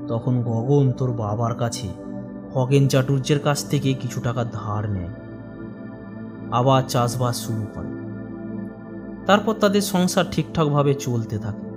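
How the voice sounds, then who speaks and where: Concerned village uncle for horror cartoon, played by a man: middle-aged, mid-pitched, familiar elder storyteller. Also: measured pace, long pauses, music in the background, lightly acted. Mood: grave storytelling. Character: older village uncle, fish trader, family friend, concerned.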